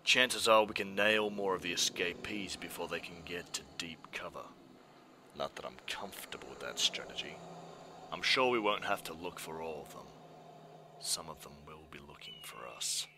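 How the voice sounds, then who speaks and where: A man playing a grim, brooding masked vigilante detective, terse and gravelly, in a fan-dub drama. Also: low-pitched, moderate pace, background noise. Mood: determined. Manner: terse, serious.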